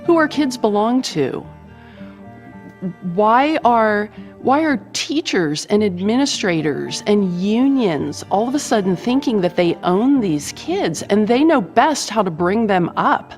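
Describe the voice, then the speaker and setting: A woman's voice: mid-range voice, big pitch swings, slow pace, a little mellow, faint music behind. A woman in an interview asking pointed, indignant questions. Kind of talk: documentary interview.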